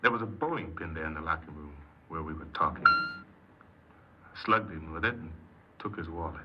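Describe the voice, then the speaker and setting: A man's voice: low-pitched, wildly swooping pitch, moderate pace. An actor playing a bitter, downcast young American ex-convict recounting his life plainly in a 1950s radio drama. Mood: regretful. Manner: plain-spoken, clipped, glum. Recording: noisy, muffled 1950s radio recording.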